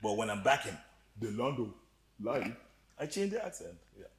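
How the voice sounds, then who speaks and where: A man with a slight Ugandan accent telling stories as a podcast guest, animated and joking, often laughing. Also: medium pitch, normal speed. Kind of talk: storytelling interview chat.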